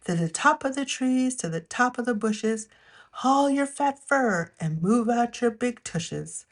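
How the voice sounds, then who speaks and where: A woman reading a rhyming picture book aloud as a storyteller, with an expressive, measured storytime delivery. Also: a little high.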